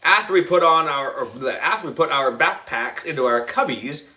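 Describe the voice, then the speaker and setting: Male children's audiobook reader: playful and exaggerated, very lively pitch, bright delivery. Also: moderate pace. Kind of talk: animated children's story narration.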